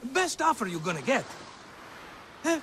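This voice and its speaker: A man's voice: very high-pitched, big pitch swings, measured pace, background noise, heavily acted. A man playing a scheming, wheedling merchant in a video game, glib and theatrical like a salesman. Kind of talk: video game cutscene acting.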